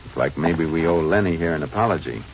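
A low-voiced no-nonsense ranger, old dull radio sound, music behind. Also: male voice, quick pace, background noise. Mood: firm. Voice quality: calm, clipped, matter-of-fact. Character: steady, no-nonsense male Texas Ranger investigator. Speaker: Texas Ranger and narrator. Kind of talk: 1950s radio drama acting.

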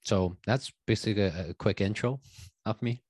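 A man, a guest telling his story in a casual interview, loose and halting with many fillers. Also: lowish voice, a little mellow, husky, no echo. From Taiwan. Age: 30s. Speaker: guest, stock options coach.

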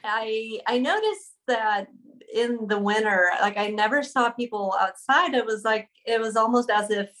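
A woman's voice: on the high side, moderate pace, fairly bright tone. A woman from Sweden, a podcast guest telling her story conversationally and searching for words. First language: Swedish.

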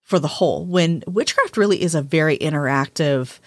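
A woman's voice: low voice, normal speed, no room sound. A woman co-hosting a casual podcast chat, talking freely, laughing often and repeating words as she gathers her thoughts. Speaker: podcast co-host.

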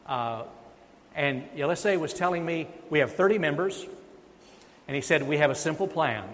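Male pastor, sermon delivery, slightly high voice, emphatic peaks, churchy reverb. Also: fairly bright tone. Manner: emphatic, rises in pitch and volume on key points. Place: church sanctuary. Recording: long reverb of a church sanctuary.

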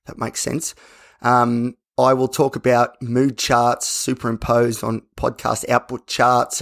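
An Australian man with a slightly croaky voice, hosting a solo podcast in a casual, candid, rambling way. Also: medium pitch. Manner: conversational, rambling, self-correcting. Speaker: podcast host.

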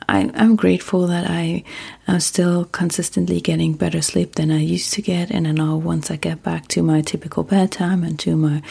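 A woman whose first language is German, talking softly and partly in a whisper, with frequent ums, as host of a sleep podcast. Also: low voice, gravelly, husky. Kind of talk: soft-spoken casual monologue.

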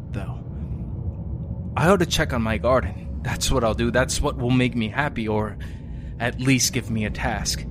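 A man playing a lonely, weary survivor broadcasting after an apocalypse in an audio drama, musing in a halting, understated, resigned way. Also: medium pitch, lightly acted. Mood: lost, resigned. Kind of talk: audio fiction monologue. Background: music.

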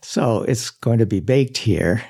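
An older man speaking as an interview guest, halting with many fillers and soft chuckles. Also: on the low side, creaky, husky. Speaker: guest, service club member. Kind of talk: casual interview chat.

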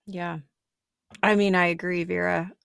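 A woman's voice: on the low side, little pitch movement, measured pace, gravelly, a bit bright, clear, resonant tone, no echo, clean studio sound. A woman speaking earnestly and emphatically in a casual faith conversation, building her points with repetition.

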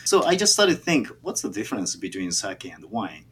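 A man with a Japanese accent, a native Japanese speaker, answering interview questions haltingly with many fillers and restarts. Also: on the low side, big pitch swings, a little hiss or hum. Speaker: sake brewer.

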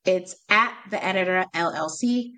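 Woman, New York accent, somewhat low voice, casual chat, frequent laughter. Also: sharp tone. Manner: laughs often, talks in bursts with pauses. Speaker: guest, professional home organizer. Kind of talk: casual podcast conversation.

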